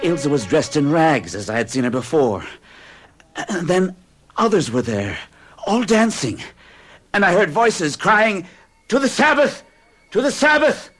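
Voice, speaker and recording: A man's voice: on the high side, big pitch swings, unhurried, pauses often, fairly bright tone, faint music behind, full character voice. An actor narrating and playing an earnest, alarmed man in an old radio drama. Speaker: narrator and protagonist. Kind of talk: 1970s radio drama acting.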